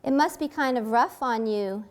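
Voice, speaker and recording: A woman's voice: a little high, normal speed, lightly acted. A woman reading the lines of a friendly, easygoing American woman in a casual, curious way.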